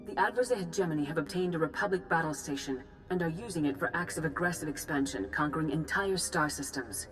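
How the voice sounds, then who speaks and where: Low-voiced, composed Jedi master. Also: female voice, animated, soft background music. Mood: grave, urgent. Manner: measured, grave, formal. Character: composed, authoritative female Jedi master giving mission briefings. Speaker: military commander briefing volunteers. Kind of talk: formal scripted game dialogue.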